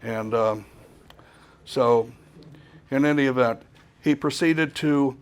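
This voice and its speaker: A man gives an informal technical presentation in a mid-pitched voice. His delivery is halting and hesitant, and he often repeats words before carrying on. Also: clean tone. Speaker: conference presenter.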